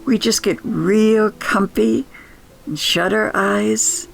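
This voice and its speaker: Very creaky older woman telling stories. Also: mid-range voice, fairly even intonation, poor audio. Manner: reflective, unhurried. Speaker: podcast storyteller. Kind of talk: nostalgic personal storytelling, scripted narration.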